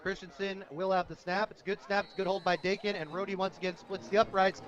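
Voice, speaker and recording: A man's voice: high voice, little pitch movement, fast talker, a bit bright. An American man doing live radio play-by-play of a football game as the announcer. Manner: reads sponsor plugs between plays. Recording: dull lo-fi outdoor radio broadcast.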